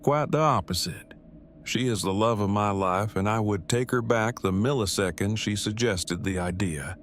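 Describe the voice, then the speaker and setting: A man's voice: on the low side, moderate pace, slight background noise, lightly acted. A man playing a wordy, self-deprecating, heartbroken nerd who speaks in stilted formal phrases in an audio drama. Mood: resigned, earnest.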